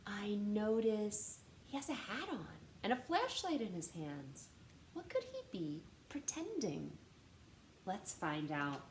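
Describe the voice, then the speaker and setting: A woman reading a story aloud to children in an animated, teacher-like way, stressing words and posing questions. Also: mid-range voice, takes it slowly. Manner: expressive teacher-like delivery, dramatic gasps and emphasis. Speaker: storytime reader. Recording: roomy echo with faint background noise.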